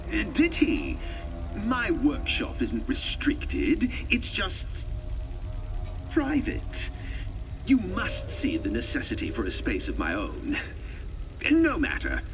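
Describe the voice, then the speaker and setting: A man's voice: on the high side, huge pitch swings, takes it slowly, pauses often, heavily acted. A man voicing an imperious, secretive lector in video game dialogue, formal, pompous and evasive, muffled and distant under music. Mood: evasive, patronising.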